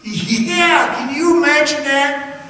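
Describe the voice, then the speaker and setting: A man's voice: extremely high pitch, slow pace, bright, forceful tone, full character voice. An actor playing a cocky young male miner, slangy and coaxing, in old-time radio theatre style. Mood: eager coaxing. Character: cocky young male miner daring his nervous friend. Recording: echoey distant radio play recording, faint hiss.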